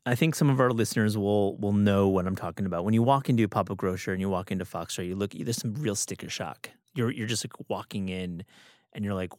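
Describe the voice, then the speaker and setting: A man with a fairly low voice hosts a conversational interview in a dry-sounding studio. He talks casually, framing questions and adding his own comments as he goes. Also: fast talker, darkish tone, no echo. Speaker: podcast host, interviewer.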